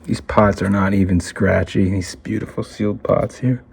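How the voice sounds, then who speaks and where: A man's voice: fairly low pitch, flat delivery, normal speed, a little mellow. A man hosting a hands-on electronics video in casual, unscripted commentary, thinking aloud as he inspects and sometimes trailing off. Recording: clear background noise, room ambience.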